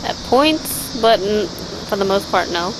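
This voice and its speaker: A woman's voice: medium pitch, lively intonation, unhurried, noisy background. A teenage girl answering interview questions outdoors into a handheld mic, hesitant with many fillers. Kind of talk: casual interview. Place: outdoors against a garage door. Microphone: handheld mic held by interviewer.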